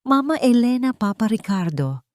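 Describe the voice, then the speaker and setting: A woman's voice: a little high, big pitch swings, moderate pace, a little mellow, dry recording, pitched above the performer's own voice. A woman voicing a resolute husband and father in a drama story, speaking formally, with respect and firmness. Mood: respectful but firm.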